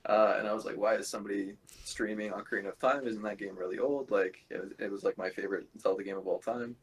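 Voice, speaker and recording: A man's voice: lowish voice, steady tone, fast talker, breathy edge, low-quality recording. A man from Canada chatting casually as a podcast guest, laid-back and informal. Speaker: podcast guest, event organiser. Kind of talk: casual chat.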